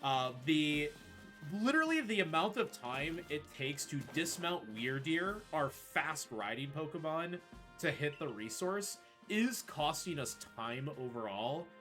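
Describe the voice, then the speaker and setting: A man's voice: on the high side, sing-song, a little slow, fairly bright tone. A man playing through a game speedrun while casually explaining his route live, with music behind him.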